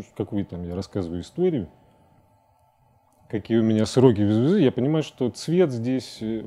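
Middle aged guy being interviewed, lowish really mellow voice, thinks as he goes, stop and start. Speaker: interview guest, animator. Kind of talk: interview talk about own work. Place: TV studio, seated at a desk.

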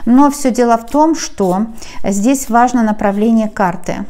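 A woman's voice: fairly high pitch, a little slow, dark tone. A woman giving a casual tarot reading to viewers, explaining cards as she handles them and reading English card text with a Russian accent. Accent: Russian, when reading English. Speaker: tarot reader. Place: at a wooden table, overhead camera on the cards.

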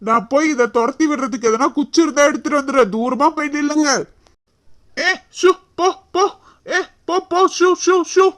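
A performer voicing a bossy, confident young village husband, brisk and repetitive, in an animated story. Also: high-pitched. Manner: brisk, bossy, repeats himself.

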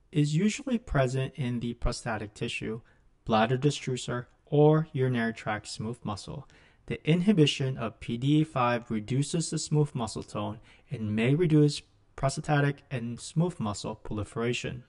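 A middle-aged man, a physician lecturer, reads a scripted medical lesson in a measured, instructional manner into a close microphone. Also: mid-range voice.

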